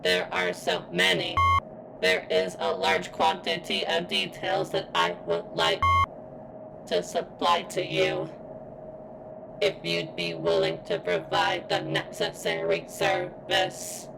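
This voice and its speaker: Robot girl played by a woman, ASMR roleplay: very low, heavily gravelly, formal, strained as if hurting, longing to be free. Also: very animated, very noisy, heavily acted. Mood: grave, confiding. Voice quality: strained, as if in pain. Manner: formal, halting, deliberate robotic delivery. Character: pained female robot security bot craving freedom and sentience.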